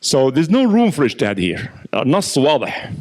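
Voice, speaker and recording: A man, an imam, giving a lecture with audience questions, explaining in a steady teaching manner and often repeating words as he thinks. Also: medium pitch, fast talker. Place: mosque lecture hall.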